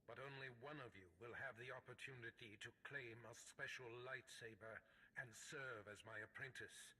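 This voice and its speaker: An actor playing a sinister, commanding Sith overseer in a sci-fi video game, speaking in a grandiose, menacing, measured way. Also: male voice, mid-range voice, pushed and bright, slight background noise, poor audio. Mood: smug menace. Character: sinister male Sith overseer, commanding, coldly pleased schemer. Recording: dramatic music under the voice.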